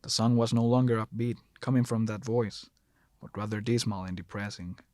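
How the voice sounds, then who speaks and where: A man's voice: lowish voice, steady tone, moderate pace, darkish tone, dry recording. A man narrating a scripted horror story as the narrator, recorded close to the mic.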